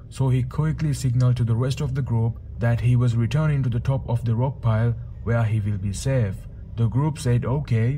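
A man narrating a true disaster story as a channel host, in a steady storytelling manner with asides to the viewers. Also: medium pitch, a little hiss or hum. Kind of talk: scripted documentary narration. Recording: faint music bed under narration.

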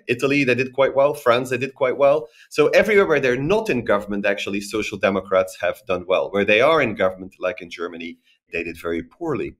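A man in his forties, a guest expert on a podcast, recording remotely and explaining at length in a lively, structured way with frequent tag questions. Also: medium pitch. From Belgium. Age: 40s. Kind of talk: podcast interview, analytical explanation.

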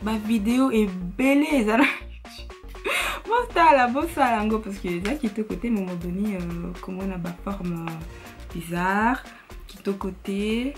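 A woman's voice: mid-range voice, measured pace, soft background music. A young woman with an Italian accent, chatting casually through a makeup tutorial in her bedroom, switching between languages with frequent fillers. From Italy. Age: young adult. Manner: chatty, mixes French and Lingala, frequent fillers. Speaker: beauty vlogger. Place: bedroom, indoors.

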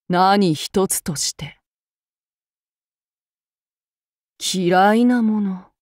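A woman voicing a young samurai woman, cold, vengeful and unstable, in slow, measured game lines. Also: medium pitch, no room sound, studio quality. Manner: slow, measured, calm then suddenly fearful. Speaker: voice actress for a game character.

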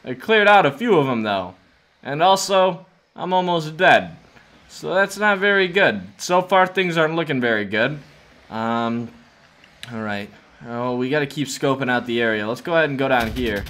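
A man's voice: mid-range voice, lively intonation, normal speed, a bit bright. A man in his twenties giving casual, jokey gaming commentary as a host, narrating a roleplay adventure with mock drama. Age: 20s.

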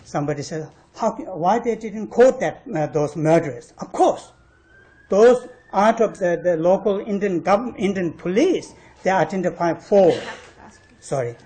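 Male panel speaker, non-native English, argumentative and emphatic, halting phrasing with repeated stressed words. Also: sits high, expressive, warm tone. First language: not English. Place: university panel discussion room.

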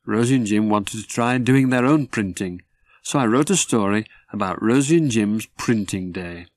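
A man narrates a children's story in a measured storytelling manner. His voice sits fairly low, and the recording is dry and close, with little room sound. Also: no room sound. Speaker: author reading his own story.

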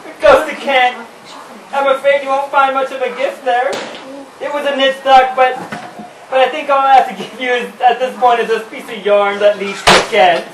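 A performer voicing an anxious, excitable rooster in an amateur puppet show, rambling and flustered. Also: high-pitched, moderate pace, noisy background, lightly acted. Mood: dismayed, apologetic. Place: indoor room, puppet stage, far camera. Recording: roomy distant camera audio with music behind.

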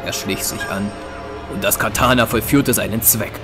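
A man narrating a scripted dramatic story in a measured, solemn way. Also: fairly low pitch, steady tone. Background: music.

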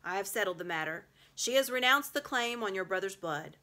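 A woman voicing a solemn male lion king in a storybook, measured and full of authority. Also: medium pitch, lively intonation, pushed and bright. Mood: commanding.

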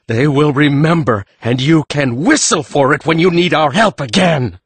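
An actor playing an irascible, self-important priest, speaking with pompous indignation in a fantasy audiobook. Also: male voice, a little high, moderate pace, slightly rough, no room sound. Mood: indignant outrage. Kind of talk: full-cast fantasy audiobook acting.

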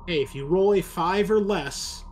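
A man narrates a tabletop roleplaying session as game master. He talks casually, with halting pauses and deliberate phrasing, over a faintly noisy background. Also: high-pitched.

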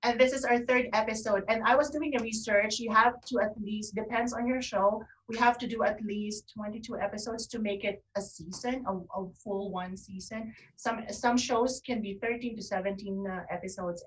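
A woman chats casually as co-host of an online show. Her voice has a medium pitch and sounds a little away from the mic, coming over an internet video call. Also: steady tone, moderate pace, distant mic, poor audio. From lives in Canada. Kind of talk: casual chat.

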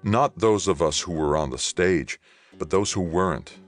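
A man's voice: deep voice, normal speed, faint music behind, voice pushed up, natural voice. A man voicing a troubled, earnest male war veteran in an audiobook. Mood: somber, intense. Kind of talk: audiobook character dialogue.

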